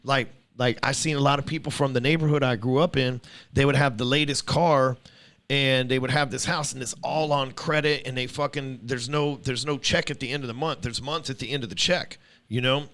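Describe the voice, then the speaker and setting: A man's voice: medium pitch, steady tone, brisk, a bit bright. A man in his forties hosting a podcast, talking bluntly and swearing freely as he lays out his views in casual chat. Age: 40s. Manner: blunt, profane, lecturing. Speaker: podcast host.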